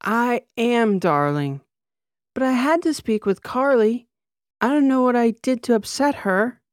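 A man voicing a drunken female socialite who slurs and wheedles in a high voice. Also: lively intonation, clear, resonant tone, dry recording, studio quality. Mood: drunk pleading. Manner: slurred, wheedling, drawn-out words. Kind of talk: audiobook character voice.

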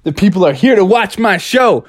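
A man voicing an excitable, cocky bear showman in a children's story, with showy exclamations. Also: high-pitched, normal speed, no room sound, clean studio sound, pitched above the performer's own voice. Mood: puzzled, eager.

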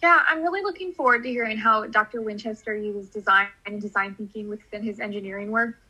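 A young woman from Missouri co-hosts a podcast in a bright, slightly breathy voice. She sounds friendly and conversational, and she uses a few fillers. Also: medium pitch, fast, low-quality recording. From outside St. Louis, Missouri. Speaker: podcast co-host, graduate student. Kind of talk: podcast co-hosting.

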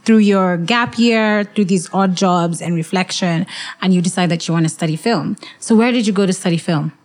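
A woman's voice: fairly low pitch, moderate pace. Chatty, affirming woman podcast host. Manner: warm, affirming, chatty interviewer. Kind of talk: casual interview chat.

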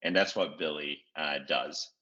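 CEO guest, very deep and bright, measured with many pauses, on a dull lo-fi recording. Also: male voice, fairly even intonation. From Zimbabwe. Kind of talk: interview answers. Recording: dull lo-fi audio.

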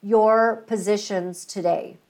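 A woman hosting a talk show, speaking conversationally and deliberately in short phrases with pauses between them. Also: medium pitch, steady tone, a little mellow. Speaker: talk show host and interviewer. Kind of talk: conversational commentary with Bible reading, introducing a guest.

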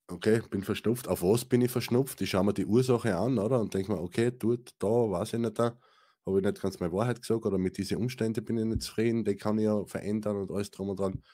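A man's voice: sits low, dark tone. A man co-hosting a spiritual podcast, rambling in colloquial talk with many restarts. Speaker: podcast co-host. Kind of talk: casual spiritual chat.

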